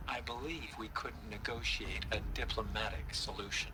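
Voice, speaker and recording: An actor playing a calm, formal official in a video game cutscene, clipped and matter-of-fact. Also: male voice, fairly low pitch, moderate pace, background noise, natural voice. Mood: composed. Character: calm, formal male official briefing recruits.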